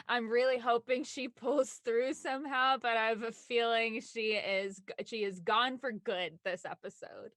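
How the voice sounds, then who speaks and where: A woman's voice: a little high, fairly bright tone, dry recording. A woman who works as a voice actor, chatting casually with castmates. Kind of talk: casual panel chat.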